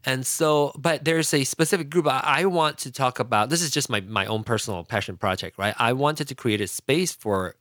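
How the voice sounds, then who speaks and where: A man from Malaysia whose first language is Hokkien, talking casually as a podcast guest, with frequent restarts and pitch swings. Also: dry recording, clean studio sound. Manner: halting, many restarts, lively pitch swings. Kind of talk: casual conversational interview.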